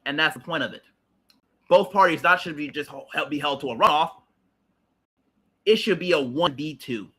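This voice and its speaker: A man's voice: on the high side, moderate pace, long pauses, pushed and bright, slightly rough. A man, hosting a livestream and ranting about politics in a heated, emphatic, repetitive way with blunt swearing. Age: late 30s. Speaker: livestream host.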